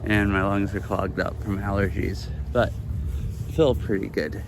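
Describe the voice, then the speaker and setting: A hoarse young man streaming outdoors, chatting casually and rambling with lots of fillers. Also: lowish voice, fairly even intonation, normal speed, background noise. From Washington state. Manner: rambling, filler-heavy, with frequent "like". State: hoarse from lost voice. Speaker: IRL streamer. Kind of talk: casual live-stream chat. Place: outdoors by a flooded river.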